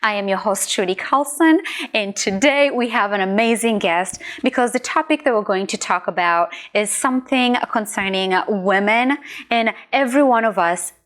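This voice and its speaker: A woman hosting a podcast, chatting casually with her guest and often murmuring soft agreement. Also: medium pitch. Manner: frequent soft murmured agreement, laughs easily. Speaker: podcast host. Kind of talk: casual interview chat.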